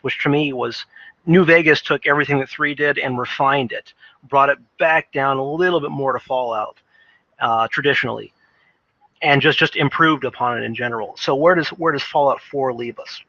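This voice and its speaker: A man giving unscripted opinion commentary as a video game commentator, often halting and restarting his phrases. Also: mid-range voice, pushed and bright, no echo, dull audio.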